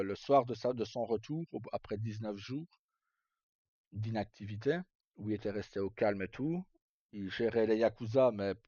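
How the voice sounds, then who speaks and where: A man chatting casually as a livestream host, telling roleplay stories in a halting, rambling way and often repeating words as he goes. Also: fairly low pitch, warm tone, dry recording. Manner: halting, rambling, frequent self-repetition. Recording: dull lo-fi mic, dry.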